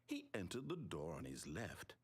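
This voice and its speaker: A controlling male storyteller narrating a video game. He is articulate and condescending, with a theatrical, wounded streak. His pitch swings dramatically from phrase to phrase. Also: huge pitch swings, moderate pace, husky, exaggerated voice. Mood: smugly patient.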